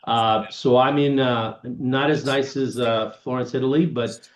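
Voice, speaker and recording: A man speaking informally as a panelist on an online call, halting and breaking into his own sentences. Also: medium pitch, steady tone, slight background noise. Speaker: panelist, international disputes lawyer. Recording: dull, echoey online call audio.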